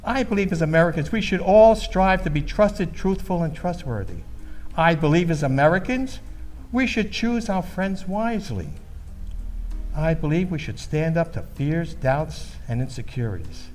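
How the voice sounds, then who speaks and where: An actor playing an earnest, patriotic baby-boomer storyteller in an emphatic, solemn stage monologue. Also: male voice, big pitch swings, normal speed, a little mellow, faint music behind. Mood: solemn, resolute.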